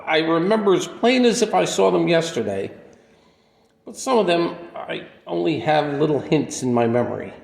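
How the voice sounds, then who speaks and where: Guy acting as a wistful older drifter in a radio play, kinda high creaky voice, halting and humble. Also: a little mellow, full character voice. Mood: wistful, puzzled. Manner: halting, plainspoken, reflective. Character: aging, modest, wistful drifting man who helps strangers. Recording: echoey hall-like reverb.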